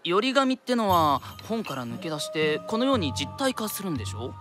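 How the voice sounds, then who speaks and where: A woman's voice: fairly low pitch, big pitch swings, moderate pace, a bit bright, faint music behind. A woman playing a cheerful, naive teenage boy shopkeeper in a radio drama, with polite, eager merchant patter. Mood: curious. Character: cheerful naive teenage boy, young secondhand-shop owner. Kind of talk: anime-style radio drama acting.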